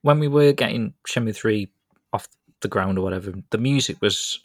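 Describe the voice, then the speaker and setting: A chatty British man hosts a casual podcast, talking in a low voice that rambles along easily. The sound is close and dry, with no room around it. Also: moderate pace, no echo. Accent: British English. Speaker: podcast host.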